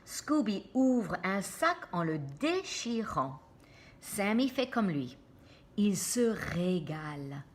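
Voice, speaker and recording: A woman reads a picture book to children at an unhurried pace. Her pitch is lively and varied, and she often steps out of the story to explain and check in. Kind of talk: animated read-aloud with asides to children.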